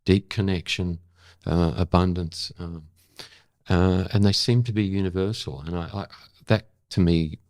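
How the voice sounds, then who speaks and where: An older man, a guest in a reflective conversation, speaking haltingly and thoughtfully as he searches for words. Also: low voice, steady tone, a little mellow, no room sound, clean studio sound. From Australia. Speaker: interview guest. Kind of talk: reflective long-form conversation.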